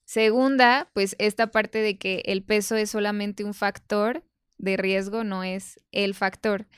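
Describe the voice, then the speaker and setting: A woman's voice: medium pitch, fairly even intonation, a little slow, clear, resonant tone, no echo, clean studio sound. A woman giving conversational explanations as an expert guest, emphatic and didactic, going point by point. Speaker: podcast guest, nutrition expert.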